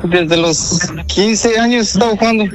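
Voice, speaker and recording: A man speaks on a phone call. His voice is a little high, and he answers briefly, politely and with some hesitation. Music is clearly audible behind him. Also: moderate pace. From Guatemala. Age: 20s. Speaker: prank-call target. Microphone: telephone call.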